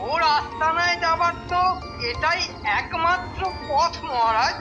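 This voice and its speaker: A sly, envious royal minister from a cartoon, high in pitch and slow of speech. The delivery is oily and scheming, over loud music. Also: male voice, highs cut off. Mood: sly, conspiratorial. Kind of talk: cartoon voice acting.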